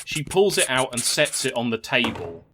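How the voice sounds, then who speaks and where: Male narrator, scripted fantasy story, expressive and dramatic, medium pitch, noticeable noise on the recording. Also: normal speed.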